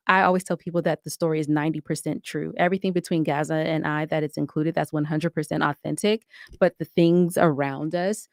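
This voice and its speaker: A woman's voice: sits low, no room sound, clean studio sound. A woman giving reflective, articulate answers as a guest in a conversational podcast interview. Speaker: podcast guest, audio drama co-creator and writer.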